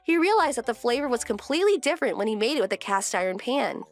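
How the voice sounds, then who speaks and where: A woman's voice: a little high, very animated, fast, faint music behind. A woman playing a composed, wry wife who narrates her domestic story in a scripted manga dub. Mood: calm.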